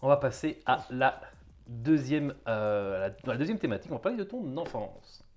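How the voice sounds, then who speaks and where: Easygoing man hosting. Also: medium pitch. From Belgium. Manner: relaxed, easygoing, quick to laugh. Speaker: host. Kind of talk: casual interview.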